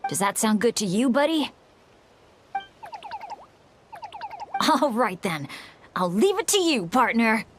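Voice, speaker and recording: High-voiced eager teenage boy. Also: very animated, moderate pace, noisy background. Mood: upbeat, determined. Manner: earnest, eager, boyish. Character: Bennett, earnest, eager, unlucky teenage boy adventurer. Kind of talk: animated video game voice acting. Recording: faint background music.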